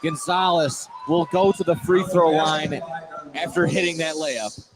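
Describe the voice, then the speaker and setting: A man doing live play-by-play basketball commentary on radio, excitable, sometimes tripping over and repeating his words, in a high school fieldhouse. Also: a little slow, a loud crowd. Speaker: play-by-play sports announcer. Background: loud thumping music.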